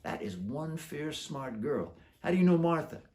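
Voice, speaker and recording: A man's voice: a little high, big pitch swings, normal speed, darkish tone, slight background noise, pitched above the performer's own voice. A man voicing a brash, boastful, streetwise male werewolf in a children's storybook, with slangy, chummy, showy talk. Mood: incredulous. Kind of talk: children's book character voice.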